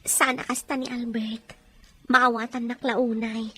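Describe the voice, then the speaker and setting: A woman's voice: on the high side, level tone, a little slow, a bit bright, breathy edge. A woman playing a regretful, resigned young mother in an audio drama, confiding and subdued. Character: regretful, resigned young mother working abroad, former secretary. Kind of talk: amateur audio drama acting.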